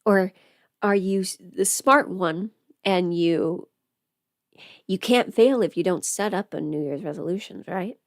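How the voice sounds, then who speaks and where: A woman's voice: on the low side, unhurried, no room sound. A young woman chatting casually as a livestream host, informal and talkative, often putting rhetorical questions to her listeners. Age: young adult.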